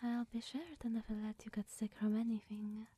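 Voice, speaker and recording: A woman playing a lonely, awkward immortal witch in an ASMR roleplay, speaking in a breathy, whispery, hesitant and coy way. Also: on the high side, level tone, fairly quick, dark tone, no room sound. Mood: lonely, hopeful.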